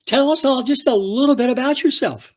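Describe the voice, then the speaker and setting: A man's voice: extremely high pitch, fairly quick. A man hosting an interview, introducing a guest in a formal, courteous way. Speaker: host.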